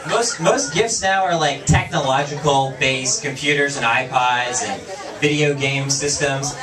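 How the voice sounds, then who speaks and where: A young man performing a stand-up comedy set on a small bar stage, talking casually and dropping into quick voiced asides. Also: mid-range voice. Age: young adult. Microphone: handheld mic, far camera. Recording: echoey bar from far off, crowd laughter.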